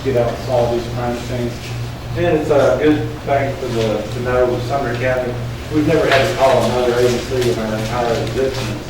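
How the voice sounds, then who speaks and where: A soft-spoken man gives a class talk, halting often and filling gaps with "uh". He sounds far from the mic in a very echoey room. Steady noise sits under his voice. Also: mid-range voice. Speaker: sheriff's crime investigator.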